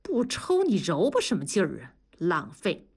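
A woman voicing a blunt, rough-talking middle-aged man, teasing and scolding his friend. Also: on the low side, huge pitch swings, normal speed, heavily acted.

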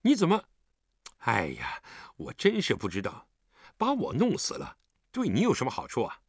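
一名男子在有声故事中扮演一个和善、护着孩子又不失坚定的成年男子，语气循循善诱。. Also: male voice, medium pitch, huge pitch swings, moderate pace, slightly rough, no echo, studio quality, heavily acted. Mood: exasperated. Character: kind, protective grown man, teasing yet firm.

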